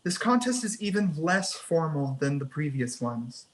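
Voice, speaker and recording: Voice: mid-range voice, big pitch swings, unhurried. A narrator reading scene headings and action lines at a screenplay table read. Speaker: narrator reading stage directions.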